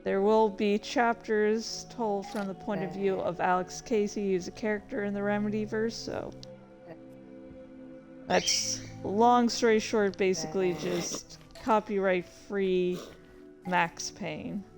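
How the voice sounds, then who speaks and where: A woman hosting a livestream with casual, halting, easily sidetracked chat. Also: mid-range voice, steady tone, over music. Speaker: livestream host and fan fiction reader. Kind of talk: casual rambling stream chat.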